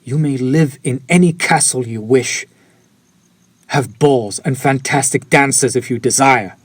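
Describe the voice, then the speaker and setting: Man acting as a centuries-old male vampire, obsessive yet polite, formal archaic phrasing, slow delivery, dry intimate recording, romantic audio roleplay. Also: mid-range voice, slightly rough, no room sound.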